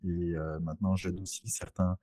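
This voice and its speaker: A man's voice: low-pitched, flat delivery, moderate pace, warm tone, dry recording, studio quality. A man talks informally in an interview, explaining things in a conversational way. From French Antilles, Saint-Barthélemy. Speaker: podcast guest, real estate investor.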